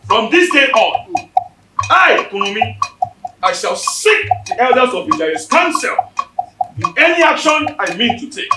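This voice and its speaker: An actor with a Nigerian accent playing a proud, embattled warlord chief in a loud, declamatory stage style. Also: mid-range voice, sing-song, a little slow. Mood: solemn. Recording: echoey stage hall, distant mic, music.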